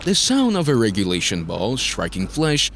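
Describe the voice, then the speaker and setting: A man's voice: mid-range voice, huge pitch swings, normal speed, background noise. A man narrating a scripted story in a dramatic style, as the narrator of an audio drama.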